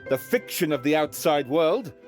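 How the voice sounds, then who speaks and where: An actor playing a showy, declamatory quizmaster who poses solemn riddles in a video game. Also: male voice, a little high, lively intonation, moderate pace, soft background music, close to an everyday voice. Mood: grand, solemn. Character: theatrical male quizmaster posing solemn riddles. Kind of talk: video game character acting.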